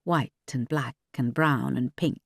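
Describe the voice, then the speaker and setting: A woman's voice: a bass voice, unhurried, creaky, slightly rough, no room sound. A woman audiobook narrator reading dramatic fiction from a script.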